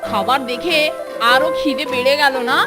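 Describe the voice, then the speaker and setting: A woman's voice: sits high, moderate pace, close to an everyday voice. A woman voicing a scrappy, indignant young schoolboy in a cartoon, slangy, complaining and boastful. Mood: aggrieved. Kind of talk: cartoon voice acting. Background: loud background music.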